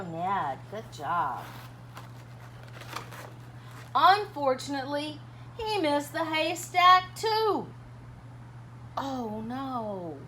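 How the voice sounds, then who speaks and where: A woman gives an animated storytime read-aloud in a fairly high voice that swings widely up and down. She takes her time, pausing to chat and put questions to young listeners in an expressive, dramatic way. There is clear noise behind her. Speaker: teacher reading a children's picture book aloud. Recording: clearly noisy background.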